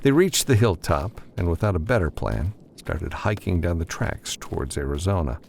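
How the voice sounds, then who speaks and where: A male narrator reads scripted fiction in a deep, creaky voice. His pitch moves expressively as he tells the story, and there is faint noise in the recording. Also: very animated, moderate pace, darkish tone. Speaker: audiobook narrator.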